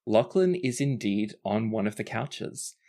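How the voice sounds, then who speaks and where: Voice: medium pitch, animated, measured pace, warm tone. A game master narrating a tabletop role-playing story in a conversational way, slipping into characters' lines in passing.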